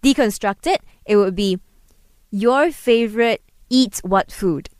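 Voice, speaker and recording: A woman's voice: mid-range voice, slow, darkish tone, smooth, no room sound, clean studio sound. A woman tutoring Mandarin phrases in casual English, patiently breaking words down and encouraging her student. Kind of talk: casual language lesson.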